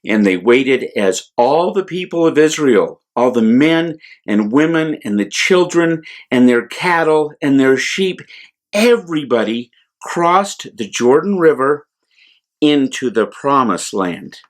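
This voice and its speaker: An American man, a priest, telling a Bible story to children in simple words with deliberate repetition for emphasis. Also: mid-range voice, lively intonation. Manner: simple, deliberate explanations with repeated phrases for emphasis.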